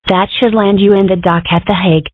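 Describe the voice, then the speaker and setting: A woman plays a young dragon girl on babysitting duty, wry and matter-of-fact. Her mid-pitched voice is casual, in a cartoon dub with very dry, phone-like sound. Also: fairly even intonation, a little fast, no echo, close to an everyday voice.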